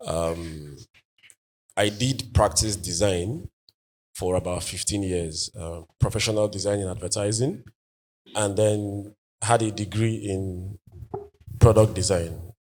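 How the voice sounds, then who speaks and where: A Nigerian man in his forties whose first language is Igbo, answering panel questions as an artist in a thoughtful, halting way, with many fillers and restarts. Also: sits low, a little mellow.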